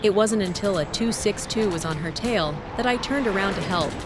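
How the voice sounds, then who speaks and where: A woman voicing a grieving, soft-hearted female warplane haunted by war, speaking in a somber, confessional way in an animated drama. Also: mid-range voice, moderate pace, music in the background, natural voice. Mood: grieving, guilty.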